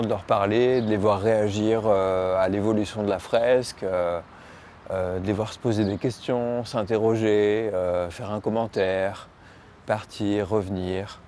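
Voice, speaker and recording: A man from Lyon with a fairly low voice speaks as an artist being interviewed about his work. His delivery is hesitant, repeating small words as he looks for the next one. Faint urban noise sits behind his voice. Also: a little mellow. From Lyon, France. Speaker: muralist painter.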